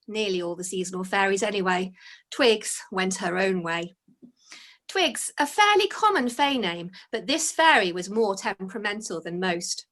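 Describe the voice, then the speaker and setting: A woman reading her own children's story aloud as its author, in an expressive storytelling style. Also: mid-range voice.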